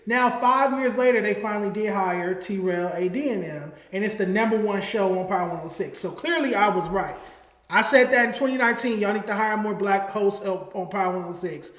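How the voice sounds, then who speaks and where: A man with a high-pitched voice makes his case in a heated, emphatic way. The sound is echoey and roomy. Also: brisk, fairly bright tone. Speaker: podcast host and hip-hop critic. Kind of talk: opinionated casual talk. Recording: roomy echo.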